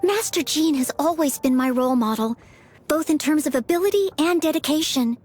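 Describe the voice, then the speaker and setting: A woman's voice: high-pitched, expressive, a little fast, a little mellow. A woman voicing an earnest, polite teenage maid and aspiring knight in a video game, eager to please. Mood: admiring, eager. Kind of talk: video game character voice lines. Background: background music.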